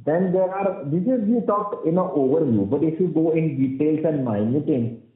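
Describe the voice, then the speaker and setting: Husky man explaining, tinny room. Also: fairly high pitch, lively intonation, normal speed. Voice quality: husky, heavy voice. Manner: explains at length with many fillers and restarts. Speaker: guest voiceover artist. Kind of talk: explanatory interview talk. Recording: roomy, tinny phone-like sound.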